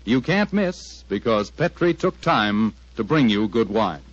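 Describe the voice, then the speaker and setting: A man's voice: on the low side, big pitch swings, measured pace, no echo. An American man announcing in 1940s radio style, with polished, enthusiastic salesmanship. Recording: dull old-time radio recording.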